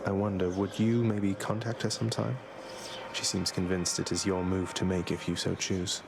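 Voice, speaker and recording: An actor playing a young underworld prince in a video game, courteous, dry and earnest in an understated way. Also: male voice, deep voice, little pitch movement, normal speed, creaky, background noise. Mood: polite, sincere. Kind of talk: video game character acting.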